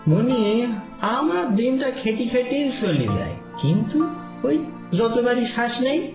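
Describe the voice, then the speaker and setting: Woman as a humble farmer, high and lilting. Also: big pitch swings, measured pace, phone-quality sound. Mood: humbly earnest. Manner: humble, earnest, hesitant. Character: humble, hardworking, devout male farmer. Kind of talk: cartoon voice acting. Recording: echoey, prominent music underneath.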